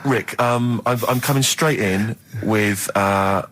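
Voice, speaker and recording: A man with an English accent and a husky voice, telling a comic anecdote in a mock-indignant, exaggerated way as a radio co-host. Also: lowish voice, slow, a bit bright, low-quality recording. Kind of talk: comic anecdote, casual banter.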